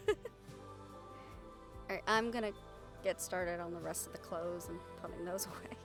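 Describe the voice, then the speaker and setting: A young woman playing an affectionate, teasing girlfriend in an intimate audio roleplay, speaking in a halting, tender and wry way. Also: fairly low pitch, music in the background. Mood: lighthearted. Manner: halting, tender, wryly teasing, casually sweary.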